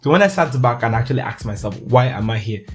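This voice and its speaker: A man's voice: mid-range voice, expressive, fast, soft background music. A young Nigerian man hosting a solo podcast, talking in a chatty way with frequent laughs and restarts. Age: young adult. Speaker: podcast host.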